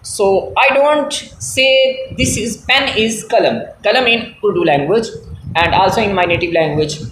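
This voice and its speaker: A man from Pakistan, a native Punjabi speaker, teaching an English lesson in a Pakistani accent. He explains things slowly and repeats himself often, with many hesitations. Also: sits high, a bit bright, reverberant, a little hiss or hum, poor audio. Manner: slow, repetitive, hesitant with frequent fillers.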